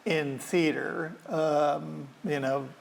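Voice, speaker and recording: A man's voice: a little high, fairly even intonation, very slow, creaky. A man moderating an onstage artist interview in an auditorium, talkative, repeating words as he leads the questions. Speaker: curator and moderator.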